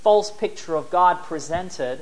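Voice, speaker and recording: A man in his twenties, an evangelist from New Zealand, preaching in a church in short friendly phrases that pause for an interpreter. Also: high-pitched. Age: 20s. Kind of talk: sermon given through an interpreter.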